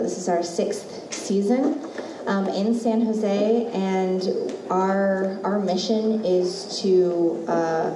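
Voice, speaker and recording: A woman hosting a live event, giving an enthusiastic, slightly hesitant informal welcome speech. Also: mid-range voice. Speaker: host, casting director. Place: live event at an art museum. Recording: echoey, distant mic, clear background noise.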